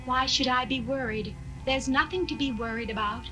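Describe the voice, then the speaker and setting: A woman's voice: sits high, normal speed, close to an everyday voice. An actress playing a brittle, defensive woman accused of a crime, in a 1970s radio drama. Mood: cold, defensive. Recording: 1970s radio recording, music behind.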